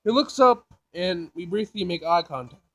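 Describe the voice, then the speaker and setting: A man's voice: high-pitched, animated, moderate pace, fairly bright tone, soft background music. A man hosting a casual let's play, reading a visual novel aloud and reacting in informal, slangy speech. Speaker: gaming video host.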